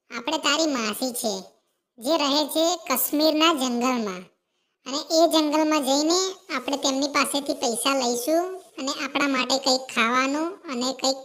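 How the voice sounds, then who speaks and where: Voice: high-pitched, a bit bright. A performer voicing a doting, worried mother sparrow in a children's cartoon tale, coaxing and repeating fond words. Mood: concerned. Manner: coaxing, repetitive, keeps addressing the child fondly. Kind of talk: animated children's story dialogue.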